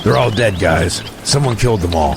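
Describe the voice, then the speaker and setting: An actor playing a grim, cautious scout with a gravelly voice in an audio drama. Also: male voice, fairly low pitch, moderate pace. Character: grim, cautious male scout of a refugee group. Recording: noisy outdoor ambience behind.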